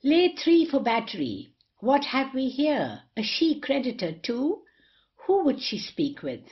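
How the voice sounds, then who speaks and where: A woman's voice: high voice, wildly swooping pitch, measured pace, highs cut off, exaggerated voice. A woman playing a saucy, sneering tavern wit in a period comedy, teasing and prying theatrically. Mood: flippant. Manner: teasing, inquisitive.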